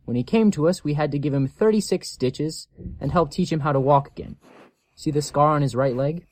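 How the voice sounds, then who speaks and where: A man's voice: a little high, brisk, a little mellow, no echo, close to an everyday voice. A man playing a caring, cautionary animal shelter worker in a scripted audio story, earnest and explanatory. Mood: grave, explanatory.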